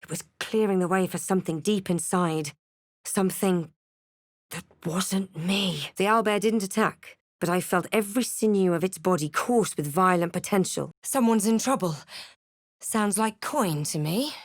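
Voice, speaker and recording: A woman playing a wry, watchful fantasy adventurer hero in a video game, with a measured, dramatic delivery. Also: medium pitch, no room sound, clean studio sound, close to an everyday voice. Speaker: player character in a fantasy role-playing game. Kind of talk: video game voice lines and narration.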